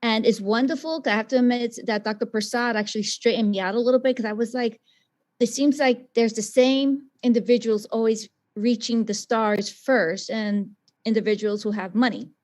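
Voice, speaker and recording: A woman's voice: on the high side, fairly even intonation, normal speed, a little mellow. A woman from the South Bronx speaking as a scientist and teacher on a webinar panel, passionate and emphatic in her answer. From South Bronx, New York.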